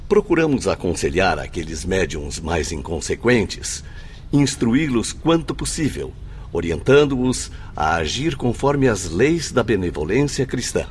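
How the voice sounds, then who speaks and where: A man with a Brazilian accent playing a benevolent, grave spirit mentor in a radio drama, speaking in a formal, measured, instructive way. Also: low-pitched, sing-song, a little hiss or hum. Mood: patient teaching. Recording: music under the voice.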